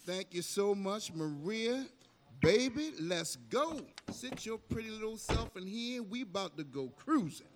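A man's voice: high-pitched, lively intonation, unhurried, background noise. An actor in an audio drama playing a boastful, folksy megachurch pastor who dotes on his family. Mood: proud. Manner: folksy, showy, affectionate pet names. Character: boastful, image-obsessed megachurch pastor and doting father.

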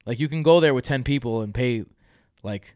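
A man's voice: medium pitch, normal speed, clean tone, no echo, studio quality. A man, hosting a fantasy football podcast in loose, joking chat with his brother. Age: 30s. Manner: animated, laughs often, loose and joking.

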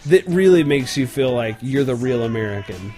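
A man's voice: mid-range voice, expressive, moderate pace, dark tone, faint music behind. Young man hosting a casual podcast. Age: young adult. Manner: loose and joking, then reflective with fillers. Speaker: podcast host.

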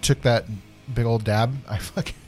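A man's voice: mid-range voice, steady tone. Sweary man bantering casually over faint music. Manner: sweary, slangy, halting. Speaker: podcast co-host.

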